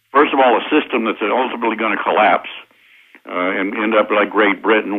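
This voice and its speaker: An American man explaining his points methodically as an interview guest, with hesitant pauses, over a tinny phone line. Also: lowish voice. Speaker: interview guest, constitutional lawyer. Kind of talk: explanatory radio interview answers.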